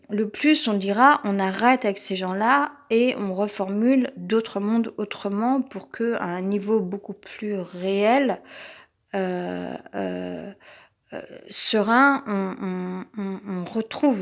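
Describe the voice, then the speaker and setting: A woman with a French accent who has a cold, giving a rambling personal monologue as a vlogger, tense and hesitant, with many fillers and restarts. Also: medium pitch.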